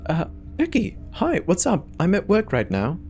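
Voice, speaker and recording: A man's voice: sits high, wildly swooping pitch, normal speed, creaky, darkish tone, faint music behind, pitched above the performer's own voice, full character voice. A man voicing an anxious, protective father in a horror story, speaking with urgent, pleading emotion. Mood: nervous.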